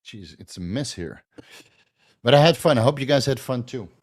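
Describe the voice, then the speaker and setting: A man's voice: mid-range voice, lively intonation, normal speed. A man in his forties from the Netherlands, with a slight Dutch accent, hosting a casual Q&A stream while tipsy, rambling loosely and swearing freely. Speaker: host. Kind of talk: casual livestream Q&A.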